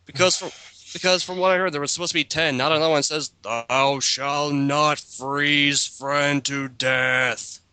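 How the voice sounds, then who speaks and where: A man with a bright, forceful voice pitched a little high reads a story aloud for an amateur dramatic reading. He comments on it with sarcastic disbelief, and the recording is dry and close. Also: fairly even intonation, unhurried, smooth, no echo. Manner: sarcastic, incredulous.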